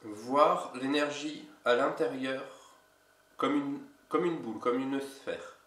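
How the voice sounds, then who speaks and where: A man with a cold, an energy healer giving a casual instructional video in a slow, halting way. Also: mid-range voice, fairly bright tone, distant mic. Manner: slow, halting, with many pauses. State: has a cold. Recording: roomy echo, away from mic.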